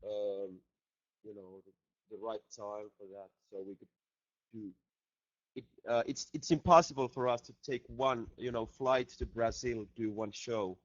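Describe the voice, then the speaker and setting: A man's voice: lowish voice, a little slow, no echo. A man, a band member, joking and reading out fan questions in a casual video chat. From Finland. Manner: playful, jokey, reads questions aloud. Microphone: laptop video call mic. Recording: dull lo-fi video call audio.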